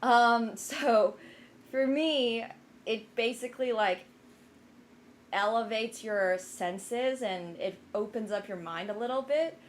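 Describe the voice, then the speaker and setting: A woman's voice: a little high, slow, a little hiss or hum. A young woman who grew up in Finland, chatting casually with her live stream viewers, rambling with lots of fillers and restarts. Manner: rambling, many fillers and restarts, self-deprecating.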